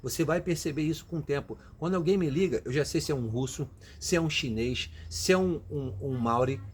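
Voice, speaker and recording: A man's voice: a little high, a little fast, slight background noise. A Brazilian man giving emphatic, motivational advice directly to his viewers in a casual way. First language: Portuguese. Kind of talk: motivational casual talk to camera.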